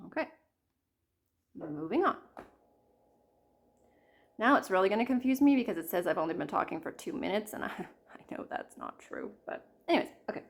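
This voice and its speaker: A woman in her thirties chatting casually about her cross-stitch projects, her voice a little croaky from a cold, rambling and apologizing often. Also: mid-range voice, big pitch swings, normal speed, long pauses. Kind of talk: casual chat to camera.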